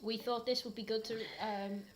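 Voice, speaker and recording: A woman's voice: on the high side, little pitch movement, normal speed, slight background noise. A British woman co-hosting a comedy reading show, talking casually to camera with mock seriousness.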